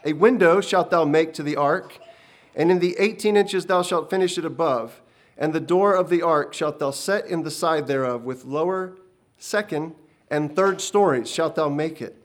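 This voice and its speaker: A man's voice: fairly high pitch, normal speed, lightly acted. A man voicing a stern, commanding divine voice that proclaims in solemn archaic speech. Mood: booming. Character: booming ancient divine voice, male, commanding and stern. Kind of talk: dramatic storytelling reading.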